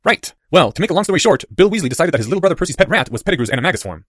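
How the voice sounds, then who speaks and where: Man playing an excitable, fast-talking nerdy schoolboy. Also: a little high, no room sound, clean studio sound, voice pushed up. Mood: gleeful storytelling. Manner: animated, eager, wordy explaining.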